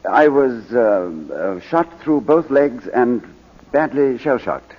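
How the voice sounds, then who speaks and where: An actor playing a middle-aged British baronet and war veteran on the witness stand, hesitant and clipped, in 1940s radio drama style. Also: male voice, mid-range voice, animated, takes it slowly. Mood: hesitant, reserved. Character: proud English baronet, war-wounded veteran testifying in court. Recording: muffled vintage 1940s radio broadcast.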